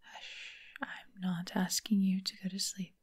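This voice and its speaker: A woman voicing a refined, affectionate vampire mistress in a soft, breathy ASMR roleplay whisper, gentle and soothing. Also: mid-range voice, monotone. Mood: reassuring, coaxing. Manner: gentle, unhurried, genteel, affectionate endearments. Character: caring, refined female vampire mistress, long-lived doting lover.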